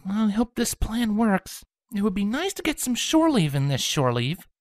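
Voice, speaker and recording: Woman acting a tender, lively young wife pony. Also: medium pitch, normal speed, dry recording, studio quality, lightly acted. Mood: wry, warm. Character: gentle, loving adult female pony, newly pregnant wife. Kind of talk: audio story character acting.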